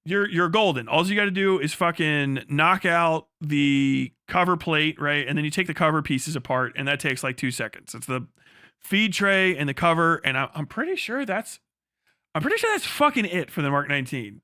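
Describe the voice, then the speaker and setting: A man livestreaming in a casual, crude, profanity-laced storytelling style as he explains things in an animated way. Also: on the high side, a little fast, no room sound, studio quality. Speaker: livestream host.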